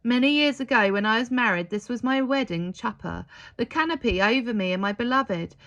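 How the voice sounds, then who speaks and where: An old woman as a female storyteller acts her out speaks with tearful, wistful feeling. Her delivery is breathless and halting, higher in pitch, with some creak, as in a heartfelt storybook tale. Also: a bit bright, dull audio. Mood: sorrowful, remembering.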